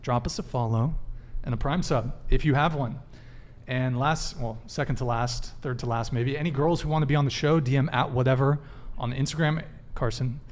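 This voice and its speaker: Brisk male podcast host. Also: mid-range voice. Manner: brisk promo patter with fillers. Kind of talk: live podcast hosting, reading announcements.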